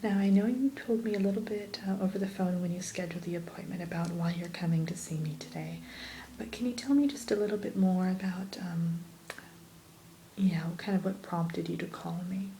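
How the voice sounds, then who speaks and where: A kind therapist , played by a woman, whispers to a patient in an ASMR roleplay. Her voice is very mellow with a crackly, creaky edge. She is gentle, unhurried and reassuring, with faint noise behind her. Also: fairly low pitch, fairly even intonation, natural voice. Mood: gentle, welcoming. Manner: soothing, gentle, attentive, unhurried.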